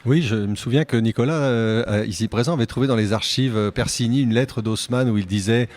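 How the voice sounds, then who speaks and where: A man's voice: mid-range voice, brisk, darkish tone. A man from Île-de-France speaks as an expert on a panel, in a medium register. He is conversational and slightly wry, punctuating his explanations with short tags. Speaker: professor, panel guest.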